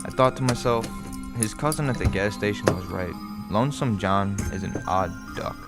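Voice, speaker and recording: A middle-aged man playing a wry, measured father who narrates a strange roadside tale in a radio play. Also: fairly low pitch, close to an everyday voice. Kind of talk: scripted first-person radio play narration. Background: music.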